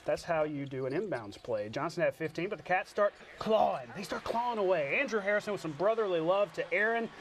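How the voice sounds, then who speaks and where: A man with an American accent anchoring a sportscast, calling highlights with playful, jokey asides. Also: expressive, a little fast, hardly any pauses, slight background noise. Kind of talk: highlight commentary and studio banter. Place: TV studio.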